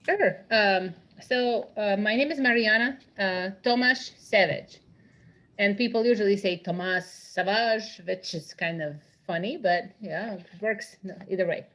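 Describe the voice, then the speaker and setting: A woman's voice: medium pitch, a little slow, a bit bright. A woman from Croatia introducing herself on a video call, hesitant and full of fillers. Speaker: workshop participant. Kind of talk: casual self-introduction.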